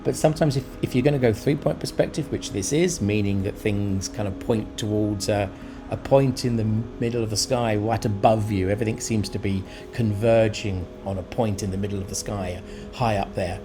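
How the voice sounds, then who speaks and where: Male art vlogger, lowish voice, conversational and thoughtful tutorial delivery over prominent background music. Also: moderate pace. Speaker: urban sketcher presenting a tutorial. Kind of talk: informal explanatory art vlog commentary.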